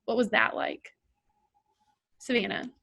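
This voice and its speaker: Woman, drama therapist, encouraging, slightly high pitch. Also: sing-song, takes it slowly, gravelly, bright, forceful tone. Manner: encouraging, asks follow-up questions. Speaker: drama therapist leading a master class. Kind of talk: leading a group discussion.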